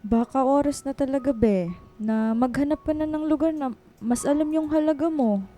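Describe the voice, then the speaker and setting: A woman's voice: sits high, normal speed, dark tone, close to an everyday voice. A Filipino woman playing a frank, supportive office friend in conversational radio drama dialogue. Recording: music bed under dialogue.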